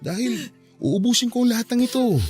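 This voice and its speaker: A man playing a patient, loving husband in a radio drama, speaking gently and reassuringly. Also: very high-pitched, animated, brisk. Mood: soothing. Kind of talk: Filipino radio drama acting. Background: soft background music.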